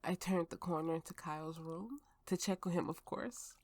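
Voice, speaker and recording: A woman playing a tipsy, haunted warrior telling her grim story in an ASMR roleplay, halting and dramatic, sometimes sinking toward a whisper. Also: on the low side, a little mellow. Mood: haunted, suspenseful.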